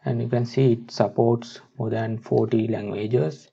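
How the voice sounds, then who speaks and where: A man narrating a step-by-step software tutorial in a halting, instructional way, on a dull, muffled microphone. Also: mid-range voice, fairly even intonation, gravelly, husky.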